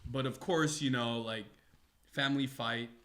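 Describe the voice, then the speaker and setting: A man's voice: medium pitch, fairly even intonation, a little slow, fairly bright tone. A man chatting casually as a podcast guest, telling stories in an informal, slangy way. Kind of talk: casual chat with swearing.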